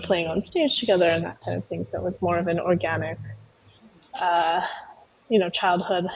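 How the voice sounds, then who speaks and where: Sex: woman. Style: casual interview talk, thoughtful and halting. Pitch: lowish. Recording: muffled video-call audio with faint hiss. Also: gravelly, a little mellow. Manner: thoughtful, hesitant with many ums. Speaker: guest, taiko performer.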